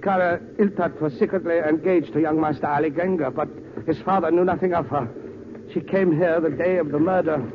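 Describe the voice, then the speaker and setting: An actor playing a frightened, shifty foreign manservant in a 1940s radio drama, speaking halting broken English. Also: male voice, fairly high pitch, steady tone, close to an everyday voice. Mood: terrified, pleading. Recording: muffled, noisy 1940s radio recording.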